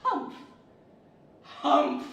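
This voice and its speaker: A woman playing a sullen, lazy, haughty camel that grumbles in a radio theater style. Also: drawn-out words. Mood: haughty, defiant. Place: large library event room, live stage. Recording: distant, echoey live hall with faint noise.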